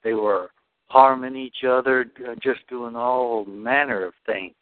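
A devout man speaks earnestly about faith and often pauses to restart a phrase. His voice comes through a narrow, phone-like line. The sound is dry, with no room around it. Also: medium pitch, steady tone, no echo. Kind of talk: spiritual discussion.

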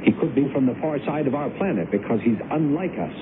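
An actor playing an earnest, secretive man from another planet, halting and insistent, in 1970s radio drama style. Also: expressive, phone-quality sound, natural voice. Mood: suspicious. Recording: noisy, thin, rumbling old radio broadcast.

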